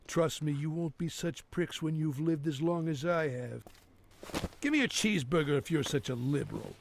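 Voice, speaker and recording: An actor playing a cynical, grumbling old workman in his sixties with a gruff manner. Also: fairly high pitch, moderate pace, a little hiss or hum. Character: foul-mouthed cynical old workman, sixty-three, grumbling.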